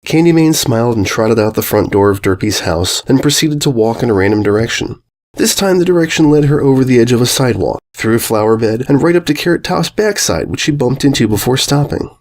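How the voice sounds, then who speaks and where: A man narrating a scripted story in an expressive, storytelling style. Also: medium pitch, brisk. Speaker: fan fiction narrator.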